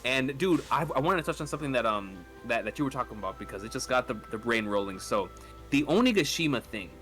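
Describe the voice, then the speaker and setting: A man with a bright, forceful, mid-pitched voice chats casually on a fan podcast. He is excited and emphatic, often repeating himself to stress a point, with faint music underneath. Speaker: podcast speaker discussing manga.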